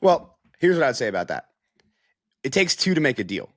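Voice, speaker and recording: A man's voice: medium pitch, sing-song, fairly quick, no echo, clean studio sound. An American man giving casual, conversational sports analysis as a reporter, with frequent filler phrases like "you know".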